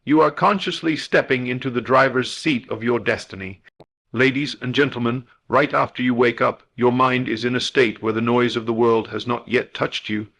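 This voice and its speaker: A man with a mid-pitched voice narrates a scripted guided meditation. He speaks as a motivational guide, with solemn and deliberate phrasing that has the shape of a formal address. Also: fairly bright tone.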